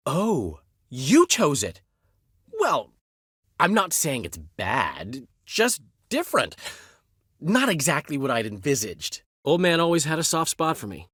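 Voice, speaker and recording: A man's voice: medium pitch, a bit bright, slightly rough, no room sound, studio quality, exaggerated voice. An actor voicing a vain, witty male bard in a video game, speaking in flamboyant, florid phrases. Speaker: game character. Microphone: dry studio recording.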